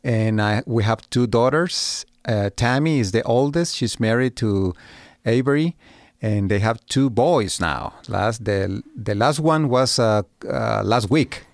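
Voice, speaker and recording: Soft-spoken pastor, halting and dry. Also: male voice, mid-range voice, animated, creaky, no echo. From Guatemala. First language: Spanish. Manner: halting, many "uh" pauses, short agreeing replies. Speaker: pastor of a Spanish-language service. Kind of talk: podcast interview guest.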